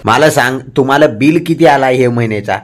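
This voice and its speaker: A man's voice: medium pitch, a little fast, lightly acted. Male actor as village farmer, short-tempered, blunt, colloquial, comedy cartoon, music underneath. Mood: worried, grumbling. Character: hot-tempered, blunt village farmer uncle, outraged and poor. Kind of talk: Marathi comedy cartoon dialogue. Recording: music behind the voice.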